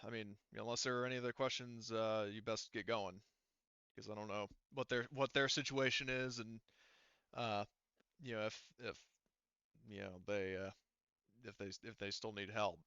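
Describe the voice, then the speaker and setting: A man voicing a plain-spoken, businesslike male station client in a tabletop game, halting and informal. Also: lowish voice, fairly even intonation, bright, forceful tone, clean tone, no echo, dull audio.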